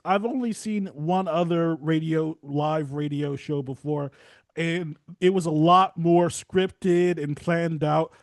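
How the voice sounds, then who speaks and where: High-voiced man, rambling casual podcast host. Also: a little mellow, no echo. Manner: rambling, informal, slangy. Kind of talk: casual interview chat.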